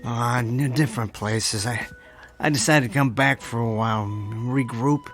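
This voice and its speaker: An actor playing an anxious small-time hustler in a radio drama, with an American accent and streetwise, stammering talk. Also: male voice, medium pitch, normal speed, fairly bright tone, soft background music, lightly acted. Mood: subdued, wistful. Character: anxious, desperate down-on-his-luck small-time hustler, man.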